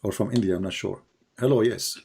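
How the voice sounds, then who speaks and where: A man's voice: on the low side, brisk, vocal fry, darkish tone, breathy edge. A man with an Arabic accent hosting a live debate stream, taunting and sarcastic, laughing at his own jokes. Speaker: livestream debate host. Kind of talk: mocking livestream debate.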